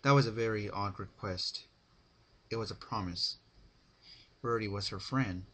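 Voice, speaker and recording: A man's voice: lowish voice, normal speed, fairly bright tone. A man narrating a dramatic reading of fan fiction, with some faint noise in the recording.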